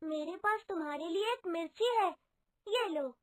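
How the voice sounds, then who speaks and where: A woman's voice: very high voice, animated, unhurried, no room sound, studio quality, pitched above the performer's own voice. A woman voicing a brave, kind little girl with an earnest, sweet manner in a children's cartoon story.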